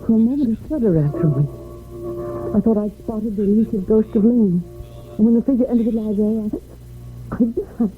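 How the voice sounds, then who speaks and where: A haunted American wife played by an actress tells her ghost story in a dramatic, deliberate way. It is old radio drama, with a narrow, tinny broadcast sound and clear music behind her. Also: on the high side, natural voice. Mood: tense, haunted. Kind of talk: 1970s radio drama acting.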